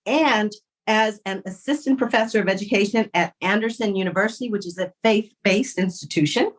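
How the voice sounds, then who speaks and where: A woman hosting a podcast interview, encouraging and expressive, now and then slipping into playful put-on voices. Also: medium pitch, fairly quick. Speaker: podcast host.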